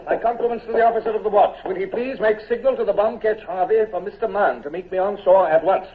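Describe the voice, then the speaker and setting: A man playing a brisk naval commodore. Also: high-pitched, natural voice. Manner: clipped, authoritative. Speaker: narrator and lead. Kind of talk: 1940s radio acting. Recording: tinny old radio broadcast with faint hiss.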